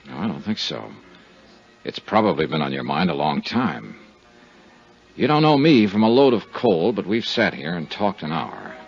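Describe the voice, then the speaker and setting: A hard-boiled male insurance investigator with a dry, wry way of talking. His voice sits low and gravelly but moves a lot in pitch. It comes through a muffled 1950s radio broadcast. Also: very animated, normal speed, faint music behind, exaggerated voice. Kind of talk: 1950s radio drama acting.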